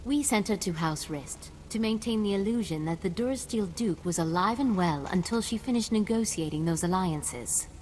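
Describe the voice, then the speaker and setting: An actress playing a composed noblewoman diplomat in a video game, speaking formally and earnestly in measured phrases. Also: mid-range voice, animated, a little mellow, slight background noise, lightly acted, poor audio. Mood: formal, resigned. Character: composed, diplomatic noblewoman official negotiating calmly.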